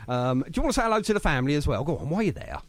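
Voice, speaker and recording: British male radio presenter, very animated, matey and effusive, dry close-mic studio sound. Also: on the high side, very fast, no echo. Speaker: radio show host. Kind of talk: chatty live music radio interview.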